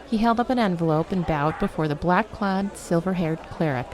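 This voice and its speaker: A woman narrating a scripted fantasy story in a steady storytelling manner. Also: lowish voice, normal speed, some chatter behind, a little hiss or hum.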